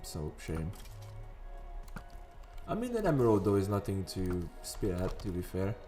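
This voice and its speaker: A man with a somewhat low, raspy morning voice talks casually over a game at his desk. He rambles and drifts into sidetracks as he plays. Soft background music runs quietly under his words. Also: wildly swooping pitch, unhurried. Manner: chatty, rambling, wanders into sidetracks. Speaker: gaming video host. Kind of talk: casual gameplay commentary. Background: faint background music.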